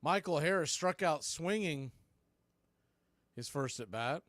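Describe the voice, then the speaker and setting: A man doing live baseball play-by-play on radio, speaking in clipped phrases with pauses between calls. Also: on the high side, lively intonation, a bit bright, dry recording.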